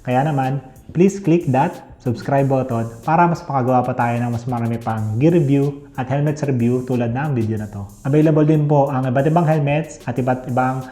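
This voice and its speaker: Filipino guy doing a chatty unboxing review, soft mellow voice, mid pitch, keeps adding little tag words. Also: brisk, soft background music. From Philippines. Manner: conversational, frequently ends phrases with checking tag words. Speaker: host of a motorcycle gear review channel. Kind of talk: casual product review and unboxing, mixing Tagalog and English.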